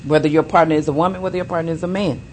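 A woman co-hosting a podcast, speaking in a measured, deliberate way. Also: deep voice, fairly even intonation, a little mellow, a little hiss or hum. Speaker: co-host. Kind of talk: casual podcast discussion.

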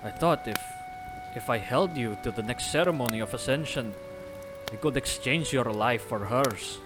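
A man's voice: medium pitch, normal speed, music in the background, natural voice. An actor playing a stern, devout village father who speaks formally and solemnly in an audio drama. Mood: solemn, grieving. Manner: formal, solemn, archaic phrasing.

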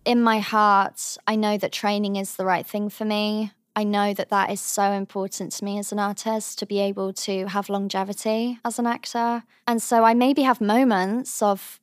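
A young woman from England with a high voice, giving thoughtful, earnest interview answers as a drama student. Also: little pitch movement, moderate pace, hardly any pauses, clean tone, no echo, studio quality. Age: young adult. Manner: thoughtful, earnest, softly trailing phrases.

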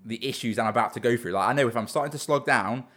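A man's voice: medium pitch, quick pace, a bit bright. A young man in his twenties, a business owner talking earnestly in a casual interview, often restarting and repeating words.

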